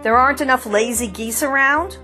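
A woman's voice: brisk, pushed and bright, soft background music. A woman voicing a defiant flock of chickens that squawk and mock. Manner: shrill, squawking, mocking. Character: clamoring defiant flock of hens.

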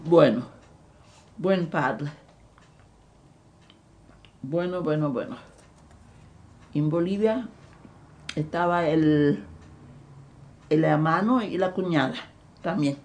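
Slow, halting elderly woman with German accent. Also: sits low, darkish tone. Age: older. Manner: halting, repeats words, restarts often. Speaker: interviewee. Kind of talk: recounting memories in an interview.

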